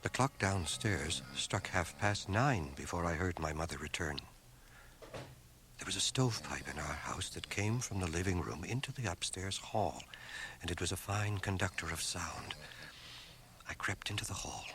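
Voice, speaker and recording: An actor playing a grave man recounting his village boyhood in precise, measured radio narration. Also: sits low, expressive, fairly bright tone, a little hiss or hum. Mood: reflective, precise. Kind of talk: scripted radio drama narration. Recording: old cassette transfer of radio drama.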